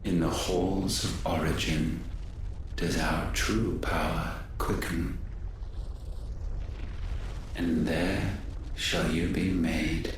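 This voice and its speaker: A man's voice: rumbling low, steady tone, drawn-out words, long pauses, slight background noise, exaggerated voice. A man playing an ancient godlike being in a video game, cold, haughty and ominous, with solemn, grandiose archaic speech. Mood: cold menace. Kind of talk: dramatic video game cutscene acting. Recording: reverberant voice under clear background music.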